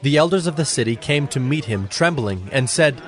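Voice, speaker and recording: A man narrating a scripted Bible story in a formal, measured storytelling manner. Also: medium pitch, lively intonation, fairly bright tone. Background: music.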